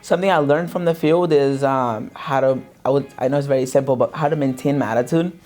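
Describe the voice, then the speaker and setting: Young Pakistan-born businessman, relaxed testimonial delivery over soft guitar, mid-range voice. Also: mid-range voice, brisk. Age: young adult. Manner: relaxed, many fillers and restarts. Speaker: sales business owner. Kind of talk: interview testimonial. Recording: faint guitar music underneath.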